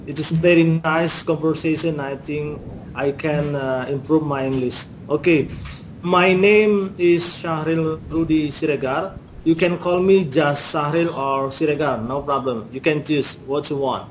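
A man from Indonesia introduces himself on a video call, his voice a little high. He speaks careful, formal learner's English, and a faint noise sits under his voice. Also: unhurried, low-quality recording. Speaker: university lecturer. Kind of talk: self-introduction.

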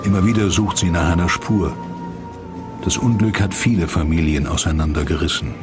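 A man's voice: low-pitched, normal speed, over music. A man narrating a dramatic documentary from a script.

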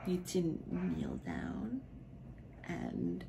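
Drunk woman, slow and gravelly. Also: deep voice, wildly swooping pitch, noisy background. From Philippines. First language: Filipino (Tagalog). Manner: slow, slurred, giggly, trailing off into whispers. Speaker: vlogger. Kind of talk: casual Q&A vlog. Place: bedroom at home, indoors.

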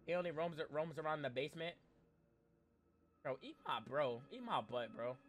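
A man's voice: fairly high pitch, expressive, normal speed, slight background noise. A young man live-streaming a game in casual slang, repeating himself in exasperated pleas, his voice strained as if he is losing it. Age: young adult. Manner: slangy, repetitive, exasperated pleading. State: strained voice, losing it, frequent throat clearing. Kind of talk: casual live horror-game stream, reacting and talking to chat.

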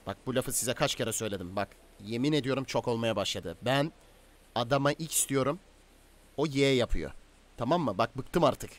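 A man's voice: mid-range voice, normal speed, close to an everyday voice. Man acting a male crime boss, domineering, stern, menacing, interrogating tone, game roleplay. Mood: angry, exasperated. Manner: domineering, interrogating, issuing orders and threats. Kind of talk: improvised game roleplay dialogue.

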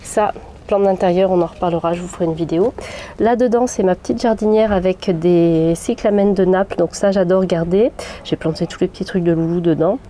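A woman's voice: fairly low pitch, a little fast, warm tone, slight background noise. A woman in her thirties with a head cold, chatting casually with many fillers and restarts as she vlogs a tour of her balcony plants. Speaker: gardening vlogger. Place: small sunny balcony outdoors. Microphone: phone held at arm's length.

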